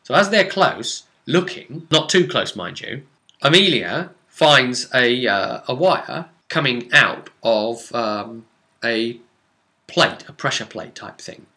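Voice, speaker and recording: A man with a bright, forceful voice tells the story of a tabletop game he ran. His delivery is casual and rambling, with dramatic descriptions of the action, at a middling pitch. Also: animated, takes it slowly. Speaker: game master recapping a tabletop role-playing session.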